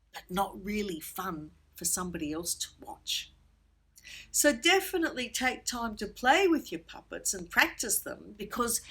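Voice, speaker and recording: A woman guides children through a creative lesson with theatrical flair. Her pitch swings widely as she explains each step. The voice has a slightly husky grain. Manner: theatrical, expressive storytelling delivery. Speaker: puppetry workshop presenter for children. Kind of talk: instructional presentation for children.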